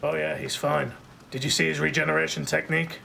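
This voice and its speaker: Brash, protective tough-guy marshal, male actor: very gravelly, blunt, audio drama with faint ambience behind. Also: fairly high pitch, flat delivery, fairly quick, bright, forceful tone, a little hiss or hum, full character voice. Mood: brusque. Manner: blunt, swearing, brusque. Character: brash, violent, foul-mouthed male marshal, gruffly protective.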